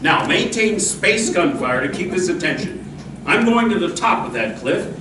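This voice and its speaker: A man's voice: high voice, animated, moderate pace, background noise. A man playing a heroic, protective masked cowboy lawman in an old-time radio western, speaking in an earnest, commanding and reassuring way. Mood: firm, instructing. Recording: roomy, distant live stage recording, music.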